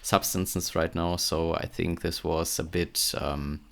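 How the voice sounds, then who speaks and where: A deep-voiced man with a German accent talks through his research as a podcast guest. His voice is low and gravelly. He hesitates often, filling gaps with um and uh and restarting his sentences. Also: steady tone, slow, a bit bright. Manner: hesitant, many fillers and restarts. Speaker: podcast guest, chemistry PhD. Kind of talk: casual interview chat.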